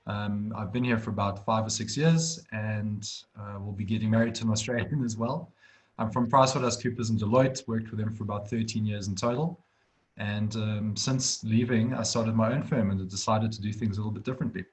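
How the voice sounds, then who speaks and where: South African man, low voice. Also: fairly even intonation, a little fast. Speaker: accounting firm director. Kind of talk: webinar conversation.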